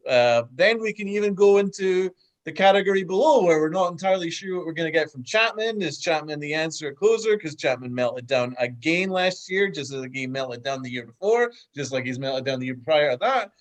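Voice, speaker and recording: A man's voice: a little high, lively intonation, fairly quick. A man co-hosting a casual sports podcast over a video call, giving blunt, emphatic opinions. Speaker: podcast co-host.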